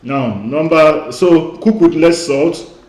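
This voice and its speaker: A man's voice: fairly high pitch, slow, darkish tone, echoey room. A man who grew up speaking Igbo, giving an emphatic online lecture as a weight-loss coach. He repeats his key points and often says "you know".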